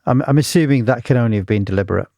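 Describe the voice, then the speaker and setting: Male host from Pakistan, mid-pitched voice, courteous and affirming, dry close sound. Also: very fast, vocal fry, mellow, no room sound. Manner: polite, affirming, repeats words. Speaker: interview host. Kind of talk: conversational interviewing.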